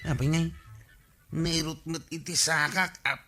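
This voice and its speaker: A man's voice: fairly high pitch, steady tone, unhurried, bright, forceful tone. A man playing a cheeky, careless village borrower with an Ilocano accent in a radio comedy drama, wheedling in a casual way. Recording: faint music under voice. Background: faint music.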